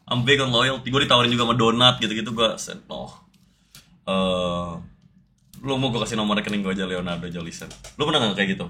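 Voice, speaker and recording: A young male streamer who grew up in New York, chatting and teasing his guest in quick mixed Indonesian and English banter. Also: on the low side, pushed and bright. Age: young adult. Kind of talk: casual stream chat, mixing Indonesian and English slang.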